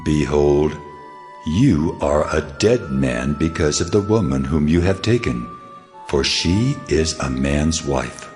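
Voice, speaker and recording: A man's voice: a bass voice, lively intonation, takes it slowly, a little mellow, soft background music, heavily acted. A man playing God, stern, weighty and authoritative, in a dramatized scripture reading. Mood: solemn warning. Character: God, solemn commanding male deity speaking in a dream.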